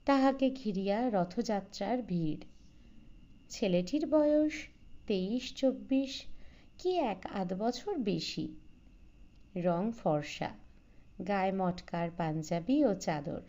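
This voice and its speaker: A woman narrating a literary short story in a measured, formal storytelling manner. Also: medium pitch, expressive, mellow, highs cut off. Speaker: audiobook narrator.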